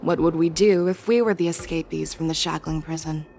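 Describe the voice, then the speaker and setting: A woman voicing a composed, shrewd general in a video game, speaking in a commanding, measured, instructive way. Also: deep voice, steady tone, darkish tone, a little hiss or hum. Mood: resolute. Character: composed, shrewd female general, a seasoned hunter. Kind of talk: dramatic video game voice acting.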